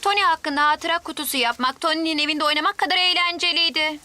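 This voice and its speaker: A woman voicing an eager little boy twin in a dubbed cartoon, excited and chirpy. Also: sits high, fast, natural voice. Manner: excited, chirpy child narration.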